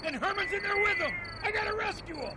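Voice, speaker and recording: A man's voice: extremely high pitch, animated, fast, crowd noise. A man playing a brash, plucky aviator hero in an old-time radio adventure, given to impulsive, punchy wisecracks. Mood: urgent. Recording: clear background noise of outdoor ambience, vehicles.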